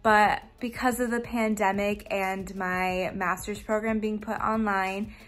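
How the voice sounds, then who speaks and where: Relaxed young woman in a living room. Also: medium pitch, a little slow, soft background music. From USA. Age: 20s. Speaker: former graduate student. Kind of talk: casual video message.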